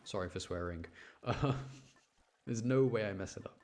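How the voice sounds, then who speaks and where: A man's voice: fairly low pitch, moderate pace, a little mellow. A man giving casual, chatty live game commentary, thinking aloud and explaining choices to his chat in an apologetic way. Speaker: game streamer talking to chat.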